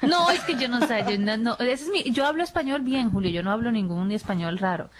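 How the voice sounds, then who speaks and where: A woman's voice: fairly high pitch, animated, a crowd in the background. A woman in a playful group chat, trying out an announcer line. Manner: playful, reads lines slowly.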